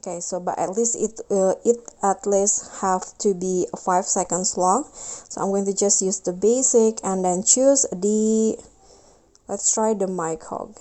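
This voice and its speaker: A woman's voice: mid-range voice, measured pace, mellow. A woman hosting a casual step-by-step app tutorial in a voice-over. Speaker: tutorial host.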